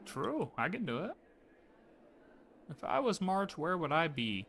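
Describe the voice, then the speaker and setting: A man's voice: fairly high pitch, very animated, a little slow, a bit bright, slight background noise. A man giving casual, laid-back, chatty commentary as a game streamer. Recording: game music behind the voice.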